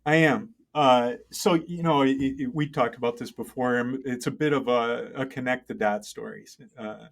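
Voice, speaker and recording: A middle-aged man speaking as an interview guest, conversational and halting, thinking aloud and often checking in with the listener. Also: medium pitch, steady tone, creaky. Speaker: interview guest, tech entrepreneur and engineer. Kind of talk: conversational interview, telling career story.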